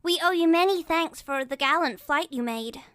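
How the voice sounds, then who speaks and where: A man reading an audiobook, voicing a young boy in a high, eager voice. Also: normal speed, no room sound, studio quality. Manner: excited, bright. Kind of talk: audiobook character voice.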